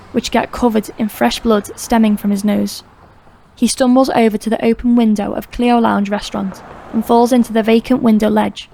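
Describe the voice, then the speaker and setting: Narrator, female: scripted crime audiobook reading, mellow tone, slightly high register, steady. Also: creaky, a little hiss or hum.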